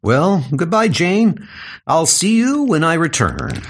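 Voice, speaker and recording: A man's voice: on the high side, wildly swooping pitch, takes it slowly, slight background noise, pitched above the performer's own voice, full character voice. A man playing a frail, lame doctor in a comic audio drama, earnest and self-doubting. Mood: gentle.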